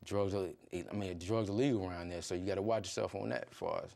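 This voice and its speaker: Male coach from Virginia, middle-aged, lower register, rapid casual delivery with colloquial phrasing. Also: steady tone, gravelly, darkish tone. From Virginia, United States. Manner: colloquial, peppered with "you know". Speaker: high school football coach, interview guest. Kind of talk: casual chat.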